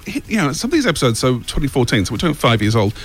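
Fast-talking Australian man, chatty and self-mocking. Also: mid-range voice, very animated, slightly rough, faint music behind. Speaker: radio show guest, audio comedy writer. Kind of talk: casual studio interview chat. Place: radio studio.

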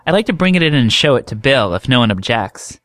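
A man's voice: medium pitch, sing-song, quick pace, a bit bright, no echo. A man voicing an impish, composed young woman with a teasing manner.

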